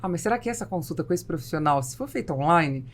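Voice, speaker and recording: A Brazilian woman hosting a business podcast, asking long questions and telling lively anecdotes. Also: low-pitched, quick pace. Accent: Brazilian Portuguese. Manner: animated, tells anecdotes, asks long questions. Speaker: podcast host.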